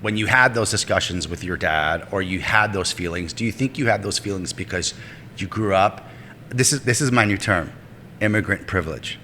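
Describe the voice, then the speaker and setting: A man's voice: deep voice, moderate pace, sharp tone, long reverb tail, a little hiss or hum. A man hosting a podcast interview, asking long, rambling questions full of fillers and restarts. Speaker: podcast host.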